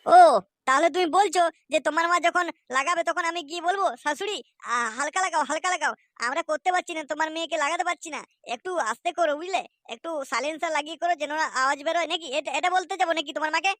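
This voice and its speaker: A man playing a crude, hot-tempered young son-in-law in a comic cartoon, swearing and grumbling sarcastically in a pitched-up voice. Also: fairly even intonation, racing, a bit bright, dry recording. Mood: sarcastic, indignant. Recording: noisy track with clicks and chirping birds.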